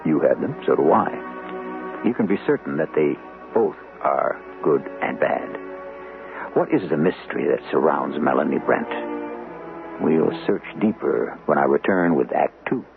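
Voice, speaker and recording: A very deep, creaky-voiced mystery storyteller, narrating gravely and deliberately for radio. The sound is narrow and tinny, with music playing behind him. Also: male voice, lively intonation, exaggerated voice. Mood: brooding, portentous. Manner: deliberate, portentous. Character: grave, brooding male mystery storyteller host. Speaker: host and narrator. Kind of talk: dramatic scripted radio narration.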